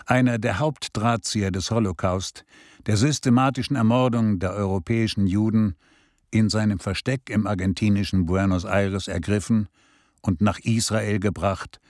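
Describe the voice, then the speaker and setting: An actor playing a sober Israeli radio journalist, narrating a documentary radio play in a measured, deliberate way. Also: male voice, lowish voice, darkish tone, dry recording, clean studio sound, close to an everyday voice.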